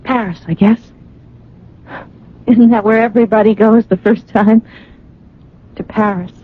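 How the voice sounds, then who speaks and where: A woman's voice: mid-range voice, steady tone, measured pace, noisy background, close to an everyday voice. An actress playing a wistful, searching young woman of twenty-two in a radio drama, with a hesitant, thoughtful delivery. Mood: tentative resolve. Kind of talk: old radio drama acting. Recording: narrow, tinny old radio sound, music behind.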